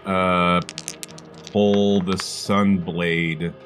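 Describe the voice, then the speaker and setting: A man with a notably low voice talks casually as a player in a tabletop game. He is dry and teasing, and faint music plays behind him. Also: little pitch movement, extremely slow, fairly bright tone.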